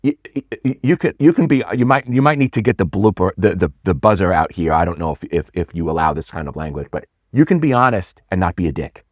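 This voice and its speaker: A man with a very low, deep voice talks as a pitch coach in a casual interview. He speaks with animated stresses and keeps repeating and restarting words. The sound is close and dry, with no room around it. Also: fast, few pauses, dry recording. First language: not Swedish; English speaker.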